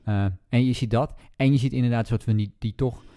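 A man's voice: medium pitch, fairly even intonation, fast talker, warm tone. A man chatting casually as a podcast co-host, retelling a story and acting out bits of dialogue.

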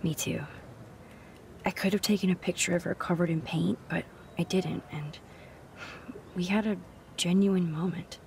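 A soft-spoken young student. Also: female voice, on the low side, fairly even intonation, normal speed, gravelly, long pauses, a little hiss or hum. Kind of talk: video game dialogue.

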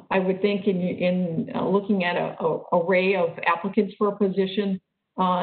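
A woman lecturer answering questions on an online call, speaking haltingly with many fillers and restarts. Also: on the low side. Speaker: guest lecturer. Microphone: video call. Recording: echoey, phone-like call audio.

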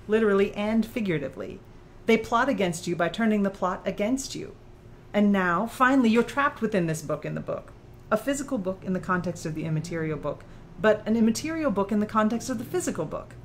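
A woman's voice: medium pitch, a little hiss or hum, close to an everyday voice. A woman performing an analytical, probing author character in audiobook dialogue. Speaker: audiobook reader.

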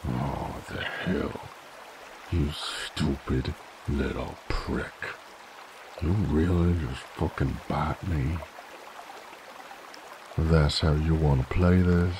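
A gruff werewolf bounty hunter for an audio drama. He drawls slowly in an American Southern accent, folksy and threatening, with a very low, gravelly voice. A stream trickles clearly behind him. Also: noisy background, heavily acted. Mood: exasperated, angry. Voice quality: very deep, gravelly. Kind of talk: roleplay audio acting, drawling cowboy talk. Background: flowing water ambience.

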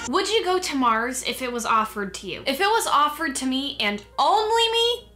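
A woman's voice: high-pitched, sing-song, moderate pace, sharp tone, faint music behind. A young woman in her late teens chatting casually to camera in a playful, wry, mock-dramatic way. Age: late teens, young adult. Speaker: vlogger. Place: small bedroom indoors, sitting on a bed. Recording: roomy bedroom echo.

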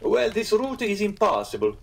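A man's voice: a little high, big pitch swings, moderate pace, pitched above the performer's own voice. A man voicing a proud, easily piqued Italian innkeeper in a storybook, earnest and emphatic. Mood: alarmed, insistent.

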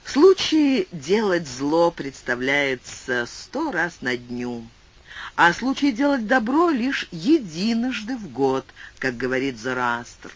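An audiobook narrator giving a scripted literary reading and reading explanatory footnotes aloud. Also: slow pace. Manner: animated reading with wide pitch swings.

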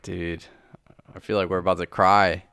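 Young dude co-hosting a reaction vid, deep voice, dry sound, lots of slang. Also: male voice, little pitch movement, normal speed, vocal fry, fairly bright tone, smooth, no room sound. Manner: slangy, informal. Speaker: reaction video co-host. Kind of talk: casual reaction chat.

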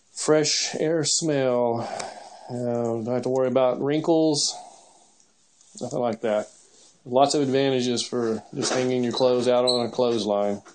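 A man's voice: mid-range voice, a little hiss or hum, rough recording. Man narrating a home kitchen demo. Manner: chatty, explains step by step with small laughs. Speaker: channel host filming a demo. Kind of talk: casual handheld narration. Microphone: handheld camera mic.

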